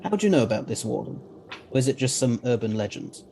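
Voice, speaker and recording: A man playing a self-assured, principled time-travelling scientist in an audio drama, speaking formally and with measured authority. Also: medium pitch, very animated, warm tone, slight background noise. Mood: inquisitive.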